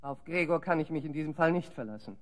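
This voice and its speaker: An actress playing a haughty, wicked forest sorceress in a German audio drama, imperious and theatrical. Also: female voice, low-pitched, expressive, fast. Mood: scheming, disdainful.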